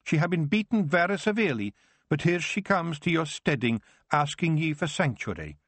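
Guy putting on a gruff scottish clan leader, high and loud, blunt, dry sound. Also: animated, normal speed, dry recording. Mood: stern. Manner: gruff, blunt, broad dialect. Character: stern Scottish clan chief Kelder, small fierce man.

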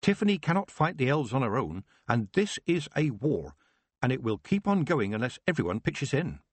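A man voicing a brisk woman who is a queen and a witch, rallying others firmly. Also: mid-range voice, big pitch swings, slightly rough, no room sound, voice pushed up.

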